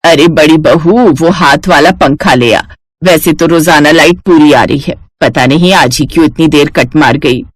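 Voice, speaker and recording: Woman putting on a low-voiced bossy old mother-in-law. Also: normal speed, dry recording. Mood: bossy, grumbling. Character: elderly mother-in-law, bossy village matriarch. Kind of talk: cartoon family story acting.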